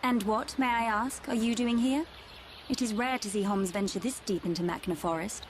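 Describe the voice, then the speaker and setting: A proud young noblewoman from a video game, played by a woman, speaks with a posh British accent. Her fairly high voice is stiffly courteous and formal, over faint background noise. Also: moderate pace, natural voice. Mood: guarded, haughty. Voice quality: posh diction. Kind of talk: video game cutscene acting.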